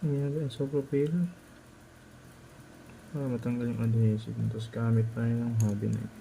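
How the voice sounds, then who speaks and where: A man's voice: mid-range voice, little pitch movement, moderate pace, vocal fry, background noise. A man with a Filipino accent narrating a hands-on repair tutorial as a technician, informal and instructive, often checking in with short tag questions.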